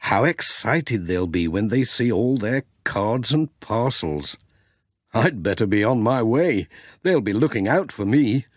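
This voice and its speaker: A man's voice: lowish voice, lively intonation, a little slow, dry recording, studio quality. A man voicing a friendly, cheerful country postman in a children's storybook reading. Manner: cheery, warm storybook dialogue.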